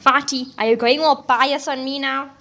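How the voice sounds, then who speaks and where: Woman playing a grumbling, high-voiced teenage schoolgirl. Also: fairly even intonation, normal speed, pushed and bright. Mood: teasing. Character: teenage schoolgirl, slangy, grumbling, self-doubting. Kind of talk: audio drama acting.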